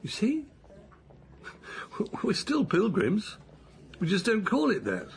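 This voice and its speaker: An actor playing an older husband on a pilgrimage walk, speaking in a gentle, musing, wondering way. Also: male voice, high-pitched, wildly swooping pitch, slow, gravelly, a little hiss or hum, exaggerated voice. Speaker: man on a long walk, husband. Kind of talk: radio drama acting.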